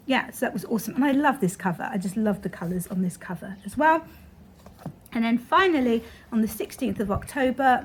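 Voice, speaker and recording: A young woman talks casually to her camera, her voice slightly croaky as she gets over being unwell. She chats on in a rambling way with plenty of ums and likes, pitched a touch higher than most. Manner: chatty, rambling with fillers. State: croaky from illness. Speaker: author vlogger. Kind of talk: casual vlog talk to camera.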